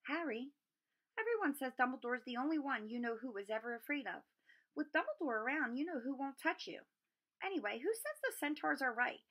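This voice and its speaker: A woman voicing a worried but sensible young witch girl in a children's storybook, reasoning briskly and reassuringly. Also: sits high, animated, a bit bright, voice pushed up, exaggerated voice. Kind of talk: children's storybook read-aloud.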